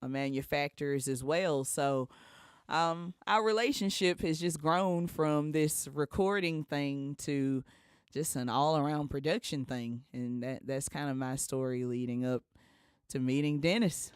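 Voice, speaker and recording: A man's voice: a little high, a little slow, clean tone, dry recording, studio quality. A man chatting casually and telling stories as a podcast co-host, often chuckling as he talks. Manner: often chuckles while talking. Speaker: podcast co-host, audio engineer. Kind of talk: casual chat, storytelling.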